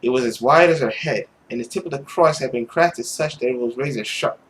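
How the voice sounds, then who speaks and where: A man's voice: mid-range voice, fairly quick, a little hiss or hum. A man hosting a gaming video, switching between casual commentary and dramatic narration of a visual novel.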